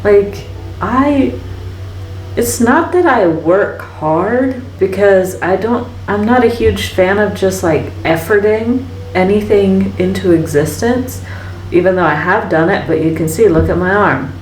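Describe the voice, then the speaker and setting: A woman's voice: medium pitch, measured pace, recorded from a distance, a little hiss or hum. A woman artist hosting a painting vlog, talking casually and reflectively while she mixes paint in her studio, with lots of fillers and little tag questions. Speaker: artist and channel host. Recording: echoey room, voice off-mic.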